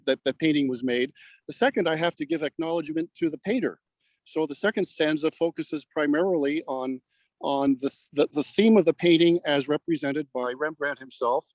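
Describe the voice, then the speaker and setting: An older man, a guest poet explaining in a halting, deliberate way over a remote video call from outdoors. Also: a little high, darkish tone, no room sound. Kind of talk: explanatory interview talk. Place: outdoors on grass in a parking lot. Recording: dull, lo-fi call audio.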